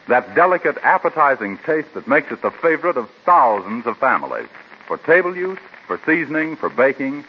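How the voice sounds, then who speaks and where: A man giving a polished, emphatic 1940s radio commercial as an announcer. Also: medium pitch. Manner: polished, emphatic salesman delivery. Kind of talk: 1940s radio advertising read. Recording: dull, noisy 1940s radio recording.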